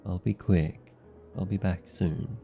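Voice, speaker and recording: A man's voice: low-pitched, steady tone, unhurried, muffled recording, soft background music. A man playing a cold, surly younger demon brother, curt and protective, in an ASMR fantasy roleplay. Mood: subdued. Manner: curt, serious, hostile.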